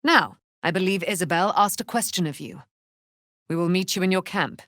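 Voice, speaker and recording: A woman's voice: lowish voice, normal speed, fairly bright tone, dry recording, clean studio sound, lightly acted. An actress voicing a proud, vengeful immortal warrior woman in a fantasy game, with grand, archaic, declamatory delivery. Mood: grand, gracious. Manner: declamatory, archaic, grand, speaks of herself in third person. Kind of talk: dramatic fantasy game acting.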